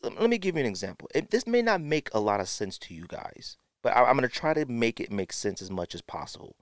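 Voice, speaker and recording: A man's voice: mid-range voice, sing-song, fast, no room sound, clean studio sound. A man hosting a podcast with opinionated commentary, sniffly from a runny nose and repeating phrases for emphasis. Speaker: podcast host. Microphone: podcast microphone, close.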